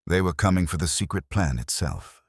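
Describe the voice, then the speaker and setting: A man reading a scripted, dramatic first-person story in a measured, deliberate manner. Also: low voice, lively intonation, slightly rough, dry recording, studio quality. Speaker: story narrator.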